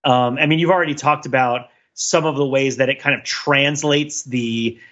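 A man co-hosting a casual pop culture discussion, talking in a halting, digressive way and often correcting himself. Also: medium pitch, fairly even intonation, a bit bright, muffled recording. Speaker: podcast co-host.

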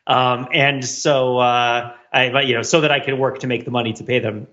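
A middle-aged man with a forceful, bright voice co-hosts an intellectual podcast. He talks discursively and wryly, reaching for academic words in casual discussion. The recording is a little dull and lo-fi. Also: medium pitch, level tone, normal speed. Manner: discursive, wry, academic vocabulary. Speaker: podcast host.

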